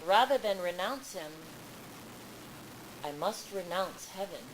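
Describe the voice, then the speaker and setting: A humble, steadfast prince, read by a woman storyteller speaks with formal earnestness. The delivery is very measured and slow, with faint noise behind it from a live reading. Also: medium pitch, expressive. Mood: steadfast, earnest. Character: Yudhishthira, humble, loyal princely man devoted to his dog. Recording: live event with background noise.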